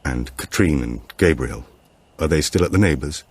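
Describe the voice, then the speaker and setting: A man's voice: very deep voice, animated, normal speed, slightly rough, heavily acted. A man voicing an anxious, insistent father who speaks in terse, demanding phrases in an audiobook thriller. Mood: shaken, terse. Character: anxious, insistent husband and father fearing bad news.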